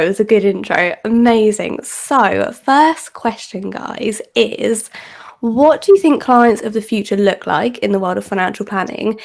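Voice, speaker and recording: A woman facilitating a panel discussion, introducing the panelists and asking them questions with enthusiasm. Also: medium pitch. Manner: enthusiastic, friendly. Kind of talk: moderating a panel.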